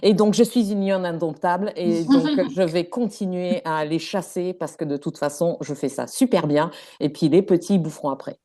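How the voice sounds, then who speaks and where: A woman's voice: lowish voice, normal speed, hardly any pauses, mellow. A woman taking part in a workshop, chatting in a casual, playful way. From Cameroonian by descent. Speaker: workshop participant.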